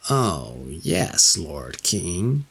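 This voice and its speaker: A cunning old witch from a storybook, voiced by a male narrator. She bargains formally and insistently. The voice is husky, with a gravelly crackle. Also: deep voice, very animated, extremely slow, pitched below the performer's own voice, heavily acted. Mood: sly, threatening.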